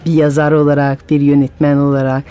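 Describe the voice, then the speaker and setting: A woman's voice: sits low, flat delivery, a little fast, dark tone, clean tone. Woman hosting a radio show. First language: French. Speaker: radio host and storyteller. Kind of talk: radio interview. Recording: music bed with long hall reverb.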